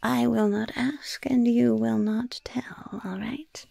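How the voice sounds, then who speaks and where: A woman's voice: on the high side, fairly even intonation, takes it slowly, dark tone, dry recording, full character voice. A woman voicing a sly, gleefully wicked goblin shopkeeper in a whispered ASMR roleplay, with polite, chatty patter and repeated words. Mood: slyly evasive. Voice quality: breathy, husky whisper with gravelly creak.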